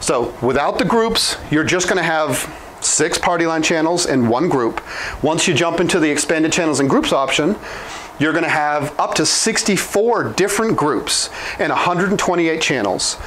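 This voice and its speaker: A man in his thirties explaining software licenses at a whiteboard, talking quickly and informally with frequent restarts and self-corrections, on a lapel mic in a small room. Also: fairly high pitch, lively intonation, slight background noise, low-quality recording. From Oklahoma. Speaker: product trainer.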